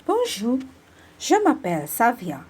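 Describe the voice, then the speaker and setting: A woman's voice: on the high side, huge pitch swings, slow pace, a little mellow, slightly rough. A young woman from India, a university student, reading a short message. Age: young adult. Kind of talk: read-aloud video message.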